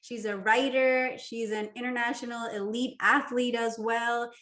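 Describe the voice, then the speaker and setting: A woman's voice: on the high side, little pitch movement, measured pace. Enthusiastic woman host, echoey room. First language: Spanish. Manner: enthusiastic, warm welcoming, laughs often. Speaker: interview host. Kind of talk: casual interview chat.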